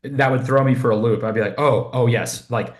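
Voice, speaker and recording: A man's voice: medium pitch, fairly quick. Young man from Southern California, podcast guest; easygoing, rambling delivery full of fillers. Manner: rambling, self-deprecating, many fillers. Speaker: podcast guest, fitness coach. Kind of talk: casual interview chat.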